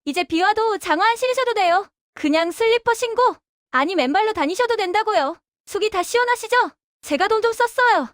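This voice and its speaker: Casting: female actor as oblivious, boastful daughter-in-law for family radio drama, extremely high voice, dry close sound. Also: lively intonation, fairly quick, fairly bright tone, no echo. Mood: gleeful, boastful. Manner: breezy, boastful, dismissive. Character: brash, chirpy, self-satisfied daughter-in-law, oblivious and tactless. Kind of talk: Korean family audio drama acting.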